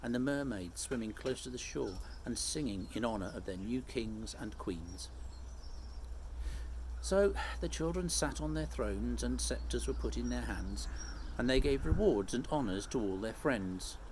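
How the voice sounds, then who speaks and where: Animated male storybook reader. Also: mid-range voice. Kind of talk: expressive scripted story narration. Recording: faint background noise.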